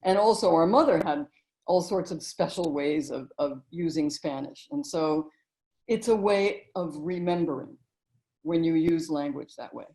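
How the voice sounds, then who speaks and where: A middle-aged woman talking in a relaxed interview, telling stories and memories as the guest. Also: low voice, unhurried, poor audio. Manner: warm, storytelling reminiscence with frequent "you know". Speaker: guest, author and narrator.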